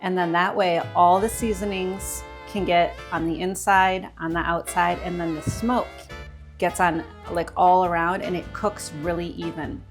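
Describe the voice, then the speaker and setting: An American woman with a lowish voice talks casually as co-host of a cooking show. She explains things step by step in an easy conversational way. Faint music plays behind her. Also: steady tone, a little slow. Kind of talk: casual chat while demonstrating cooking.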